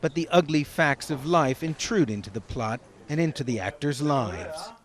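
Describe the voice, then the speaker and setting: A man narrating a television news report in a steady, measured broadcast voice. His pitch sits a little above most men's. The delivery is even and deliberate. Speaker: news correspondent.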